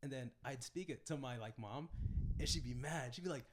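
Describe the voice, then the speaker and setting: A man's voice: medium pitch, brisk. A young man from the Philippines chatting casually as a podcast co-host, swearing freely. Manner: casual, swears freely, animated when quoting family. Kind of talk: casual chat. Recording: noisy recording.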